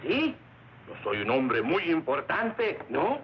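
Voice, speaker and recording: An actor playing a genial, self-important Mexican villager with an accent, mixing broken English and Spanish in an old-time radio drama. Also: male voice, a little high, huge pitch swings, measured pace. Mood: genial, proud. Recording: noisy, dull old radio recording.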